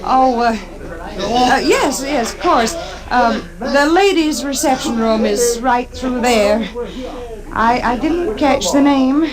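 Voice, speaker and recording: A woman's voice: fairly high pitch, expressive, slow pace, poor audio. An actress playing a prim, disapproving frontier townswoman in an old Western radio drama, stiff and proper. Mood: stiffly polite. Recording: old radio recording, loud crowd behind.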